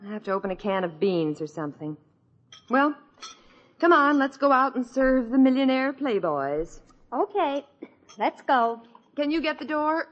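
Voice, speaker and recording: A wry, exasperated suburban American woman in a fifties radio comedy. Her voice is a little high and she grumbles about the household. The recording is dull, like an old broadcast. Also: big pitch swings, moderate pace. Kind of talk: 1950s radio sitcom acting.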